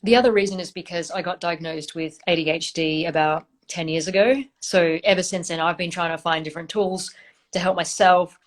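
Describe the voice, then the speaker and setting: A low-pitched woman speaks as an interview guest on a video call. She answers thoughtfully and steadily, pausing on "um"s as she gathers her thoughts. Her delivery stays measured rather than rushed. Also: fairly even intonation. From Australia. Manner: thoughtful, measured, with hesitant fillers. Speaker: startup founder, guest.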